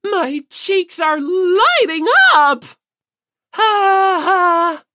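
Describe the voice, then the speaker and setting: Rough, super high loud evil bear mascot, taunting and over the top, audiobook character. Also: female voice, very slow, no echo, clean studio sound, exaggerated voice. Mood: gleeful taunting. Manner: taunting, exaggerated. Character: cute evil two-toned robotic bear headmaster, gleefully teasing.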